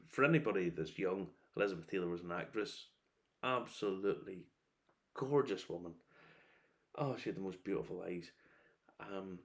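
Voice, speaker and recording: Low-voiced man chatting casually and wryly. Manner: opinionated, wry, animated asides with mock quotes. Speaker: whiskey reviewer. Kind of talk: casual rambling review chat.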